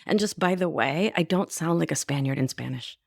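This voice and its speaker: A woman's voice: sits low, fairly quick, vocal fry, no room sound, clean studio sound. Playful, affectionate woman podcast coach. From Argentina. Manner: playful, affectionate, laughs often. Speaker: life coach and podcast host. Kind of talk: solo podcast teaching.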